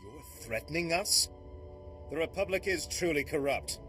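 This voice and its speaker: An actor playing a proud, righteous resistance fighter in a video game, declamatory and accusing. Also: male voice, on the high side, expressive, moderate pace, bright, forceful tone, faint music behind. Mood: indignant.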